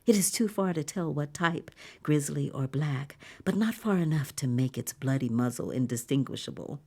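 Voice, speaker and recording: An awed visitor to a bleak future theme park in an audio drama. Her voice is low and dark, with heavy creak. She narrates with breathy wonder and wry understatement, often dropping toward a whisper. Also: animated, moderate pace. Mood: hushed awe. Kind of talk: dramatic first-person audio fiction narration.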